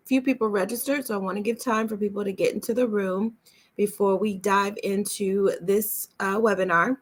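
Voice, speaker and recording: A woman hosting an online webinar as a coach, chatting casually to viewers in a welcoming, reassuring way. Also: fairly high pitch. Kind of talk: casual livestream chat to viewers.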